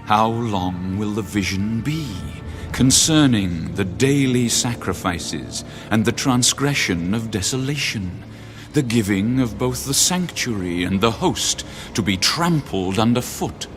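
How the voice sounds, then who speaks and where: A man playing a solemn holy being in a dramatised scripture audiobook, speaking in a grave, measured way. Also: fairly low pitch, lively intonation, music in the background. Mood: solemn questioning. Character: solemn holy being asking about a vision.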